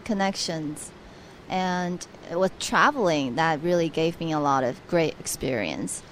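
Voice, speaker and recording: A woman's voice: low-pitched, animated, measured pace, a little hiss or hum. A young adult woman from Taiwan, a guest on a radio interview, speaking earnestly with frequent restarts.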